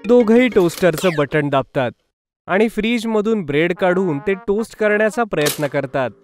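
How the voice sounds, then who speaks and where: A man's voice: sits high, lively intonation, moderate pace, faint music behind. A man narrating a scripted story for children as its storyteller.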